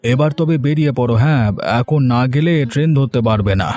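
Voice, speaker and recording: A man voicing a firm older patriarch in an animated moral tale. His voice is medium in pitch and reassuring, with light music underneath. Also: fast talker, lightly acted. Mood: brisk. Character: firm, reassuring older father, family business owner. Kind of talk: cartoon story dialogue.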